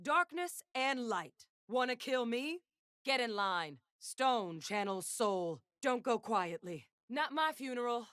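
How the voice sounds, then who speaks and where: A woman's voice: a little high, animated, slow, fairly bright tone, smooth, dry recording. An actress playing a resolute, haunted woman sentinel gunslinger back from death in a video game, solemn and commanding with dry wit. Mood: defiant. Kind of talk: video game character voice lines.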